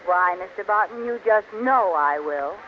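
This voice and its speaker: Radio sitcom wife, played by a woman: eager, theatrical, intellectual airs, very animated delivery, old noisy broadcast sound. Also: medium pitch, close to an everyday voice. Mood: gushing flattery. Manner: gushing, drawn-out emphasis, theatrical. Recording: muffled old radio recording, faint noise.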